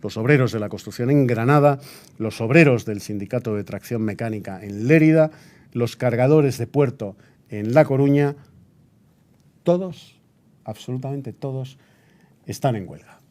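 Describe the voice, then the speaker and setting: A man's voice: medium pitch, moderate pace, a little mellow, natural voice. A man playing a solemn, indignant Spanish radio newsreader of 1936, reading a formal, declamatory bulletin. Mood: formal, grave. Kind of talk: scripted period radio news bulletin.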